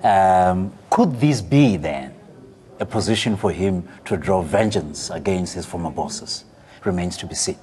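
A middle-aged man, giving measured analysis as an academic on a studio panel. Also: low-pitched, expressive, a little mellow. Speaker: political analyst, professor.

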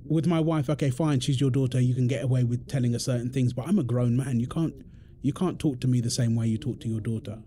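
A man's voice: medium pitch, fast talker, dark tone, close to an everyday voice. A British man playing an exasperated, defensive husband in an audio roleplay, arguing in an insistent, repetitive way. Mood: indignant. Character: exasperated, defensive husband and father quarrelling indignantly. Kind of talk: dramatic one-sided argument roleplay.

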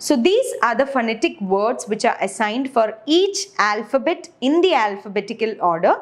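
A woman's voice: on the high side, lively intonation, normal speed. A woman in her twenties with an Indian accent giving an instructional lecture, explaining step by step as a teacher. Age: 20s. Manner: explanatory, rhetorical questions to structure points. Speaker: aviation lecturer.

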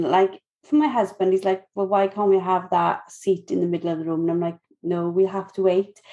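Welsh lady podcast host, chatty and relaxed, ums here and there. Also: medium pitch. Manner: chatty, relaxed questions with fillers. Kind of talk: casual podcast interview.

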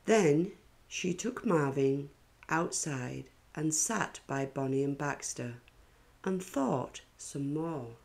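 Very slow, low-pitched woman reading a storybook. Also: vocal fry. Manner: deliberate, expressive storytelling. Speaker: children's story reader. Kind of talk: picture-book read-aloud narration.

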